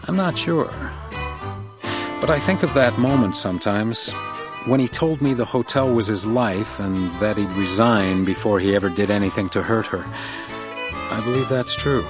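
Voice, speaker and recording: An actor in a radio drama playing a shrewd, composed hotel house detective, speaking and narrating in a measured, wry way. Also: male voice, fairly low pitch, music in the background, natural voice. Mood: reflective.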